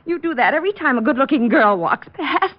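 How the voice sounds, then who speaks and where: Lively, chiding radio sweetheart. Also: female voice, high-pitched, brisk. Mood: fond, chiding. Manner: coaxing, chiding. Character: caring, playful society girlfriend, mildly scolding. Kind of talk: 1940s radio drama acting. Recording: dull, noisy old-time radio recording.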